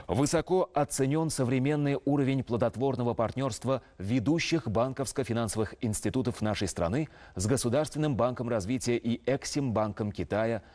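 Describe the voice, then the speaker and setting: A man's voice: mid-range voice, a little mellow. Man reading formal television news. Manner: measured, official delivery. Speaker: news anchor.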